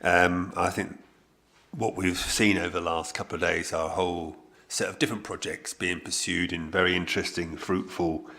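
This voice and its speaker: A man reflecting on an academic conference as a panelist, starting haltingly with many fillers. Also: low-pitched, bright, forceful tone. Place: conference hall.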